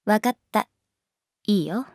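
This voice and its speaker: A woman's voice: medium pitch, lively intonation, a little slow, darkish tone, no echo, studio quality, natural voice. A woman playing a laid-back adult schoolteacher in a Japanese voice drama, talking in a casual, nonchalant, teasing way. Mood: firm, indulgent. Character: wry, laid-back adult female schoolteacher, quietly indulgent. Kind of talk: Japanese voice drama acting, natural dialogue.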